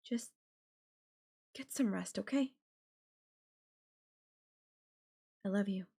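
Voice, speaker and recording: A woman acting a slow-talking, obsessive yandere girlfriend. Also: medium pitch, creaky, warm tone, breathy edge. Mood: coaxing. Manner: sweetly condescending, coaxing, threatening, with lingering pauses. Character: obsessive, menacing yandere girlfriend holding her lover captive. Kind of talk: girlfriend audio roleplay, intimate one-sided dialogue.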